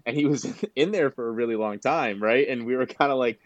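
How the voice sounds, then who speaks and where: A man's voice: medium pitch, fairly quick, no room sound, highs cut off. A man co-hosting a podcast, telling rambling anecdotes broken by laughter in a casual chat. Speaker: podcast co-host.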